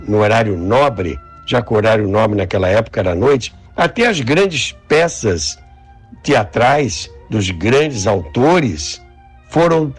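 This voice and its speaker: A man's voice: mid-range voice, breathy edge. An older man with a Brazilian accent, explaining and reminiscing in an interview.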